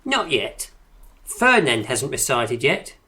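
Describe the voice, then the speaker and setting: An actress playing the brisk, pretentious leader of a poetry circle in a radio drama, grandly articulate and theatrical. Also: female voice, a bass voice, sing-song, bright, forceful tone, exaggerated voice. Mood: grandly encouraging.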